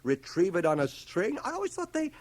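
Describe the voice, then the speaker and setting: An actor playing a dry, ironic schoolmaster of nearly fifty in a radio drama, speaking in a measured, pedantic, sardonic way. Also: male voice, sits high, huge pitch swings, a little mellow, heavily acted. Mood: mock-innocent. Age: middle-aged. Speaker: actor, narrator and lead. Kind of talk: 1980 radio drama acting. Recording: home cassette transfer of radio broadcast.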